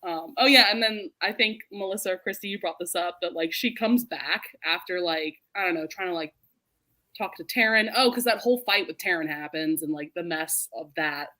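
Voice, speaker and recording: A woman's voice: lowish voice, fairly quick. Casual, chatty woman hosting a book discussion. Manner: animated, laughs readily while talking; informal, heavy use of "like". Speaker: host of a book readalong live show. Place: remote group video call.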